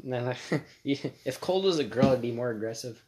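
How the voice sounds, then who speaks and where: A young man with a deep voice, co-hosting a casual podcast from a friend's house, laid-back and joking, swearing casually. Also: lively intonation, normal speed, background noise. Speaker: podcast co-host.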